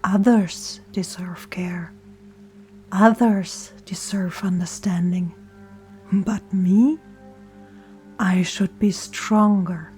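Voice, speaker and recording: Female meditation guide: gentle, near-whispered scripted delivery, unhurried pacing with deliberate pauses, soft music underneath. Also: medium pitch, steady tone. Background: soft meditation music and singing bowl tones.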